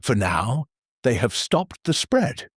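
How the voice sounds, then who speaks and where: A rule-bound male church minister, as a man plays him reads announcements in formal, bureaucratic phrasing. His delivery is deadpan, yet his pitch moves in lively swings and his voice carries a husky grain. The recording is close and dry. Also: slow pace, no room sound. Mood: solemnly formal. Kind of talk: satirical audio drama.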